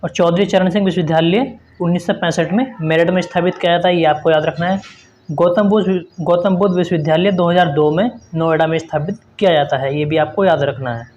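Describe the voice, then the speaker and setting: A man with a notably high-pitched voice teaches an exam-prep lesson. He reads out quiz questions and answers, then explains them in an instructive manner, repeating points for emphasis. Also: steady tone, fast, a little mellow, muffled recording, slight background noise.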